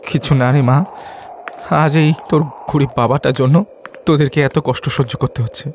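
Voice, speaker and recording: A man's voice: mid-range voice, fairly even intonation, normal speed, darkish tone, a little hiss or hum, lightly acted. Man playing a plaintive poor village father. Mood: sad, tender. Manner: plaintive, earnest, repeats phrases. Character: humble, distressed poor village father, desperate and caring.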